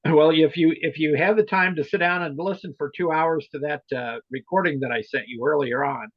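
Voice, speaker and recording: A man's voice: fairly high pitch, fairly quick. A man leading an informal meeting, explaining things in a hesitant, rambling way. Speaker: meeting leader and trainer of volunteer club officers. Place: online video meeting. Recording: roomy, muffled online meeting audio.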